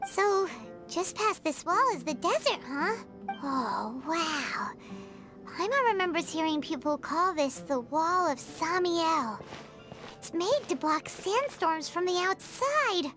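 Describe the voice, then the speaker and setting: A little fairy girl companion from a fantasy video game. The voice is extremely high, squeaky and childlike, leaping up and down with excitable, chatty energy. Prominent music plays behind it. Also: slow, exaggerated voice. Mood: curious, chatty. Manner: perky, exclamatory, refers to self in third person.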